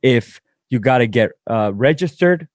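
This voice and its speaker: A man's voice: medium pitch, expressive, a little slow, dry recording. A man hosting a podcast, asking questions with enthusiastic, repeated emphasis. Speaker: podcast host. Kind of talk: interview questions.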